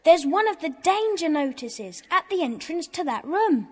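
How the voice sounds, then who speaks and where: A confident, dismissive young schoolboy in a children's audio play. The voice is extremely high and animated, set in long, hall-like reverb. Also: female voice, moderate pace. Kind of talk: children's audio drama acting.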